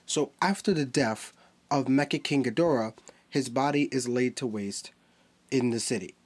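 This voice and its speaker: Brief: man, mid-pitched, nasal and stuffy from a cold, chatty toy-review host walking through a figure. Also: normal speed, slightly rough. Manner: chatty, explains while handling a figure.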